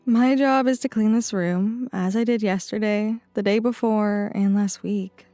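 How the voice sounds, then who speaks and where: A man voicing a quiet, dutiful teenage girl working as a hotel chambermaid, speaking her resigned thoughts softly. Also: high voice, steady tone, unhurried, dry recording, faint music behind, voice pushed up.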